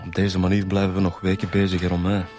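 An actor playing a plain-spoken male detective in a Flemish radio drama, measured and matter-of-fact. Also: low voice, completely flat delivery, music in the background, close to an everyday voice. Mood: weary, grumbling. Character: plain-spoken male detective, diligent subordinate who grumbles.

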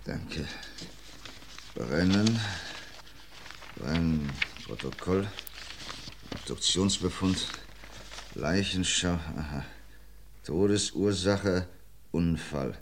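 An actor playing a methodical police inspector with dry wit, in 1950s radio crime drama style, measured and reading out case files. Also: male voice, low voice, a little hiss or hum, full character voice. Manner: measured, reads out files, wry asides.

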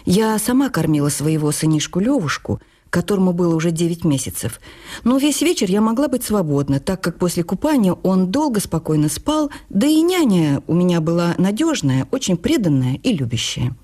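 An actress playing a woman writer recalling her youth in an expressive, literary radio memoir reading. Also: on the low side, a little fast. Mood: wistful recollection.

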